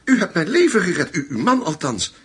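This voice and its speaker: A man's voice: high-pitched, huge pitch swings, normal speed, breathy edge, exaggerated voice. An actor in a radio drama playing a polite, hesitant man who seeks out old comrades. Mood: earnest, excited. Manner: courteous, hesitant.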